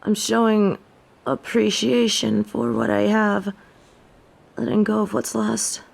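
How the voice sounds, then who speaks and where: A woman performs a proud fallen angel woman from an audio drama. Her delivery is unhurried and theatrical, with formal, haughty wording and a creaky edge at a middling pitch. Beneath the imperious manner sits a softer streak. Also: lively intonation, full character voice. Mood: proud, softening. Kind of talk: scripted audio roleplay acting.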